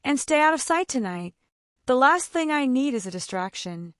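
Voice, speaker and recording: A woman's voice: sits high, sing-song, moderate pace, a bit bright, no room sound, voice pushed up. A stern, curt young heir, voiced by a woman narrator. He delivers short, disdainful orders with clipped coldness. The sound is dry and close-miked.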